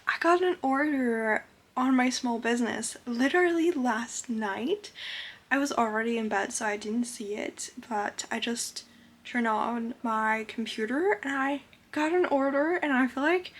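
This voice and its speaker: Slow, soft-spoken young woman. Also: a little high. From Switzerland. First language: French. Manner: soft-spoken, unhurried, with many fillers. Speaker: vlogger. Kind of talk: casual vlog talk to camera.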